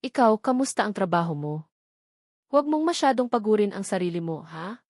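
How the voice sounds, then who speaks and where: A woman's voice: mid-range voice, lively intonation, a little fast, darkish tone, no room sound, studio quality, lightly acted. A woman narrator voicing a caring, affectionate older mother in an audiobook drama. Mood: tender concern. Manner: affectionate, reassuring.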